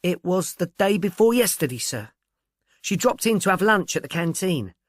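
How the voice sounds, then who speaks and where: A man's voice: high voice, sing-song, moderate pace, no room sound, clean studio sound, pitched above the performer's own voice. A man voicing a timid, deferential working-class young woman in an audiobook, speaking in a hesitant, polite, earnest way. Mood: earnest, uneasy. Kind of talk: audiobook character dialogue.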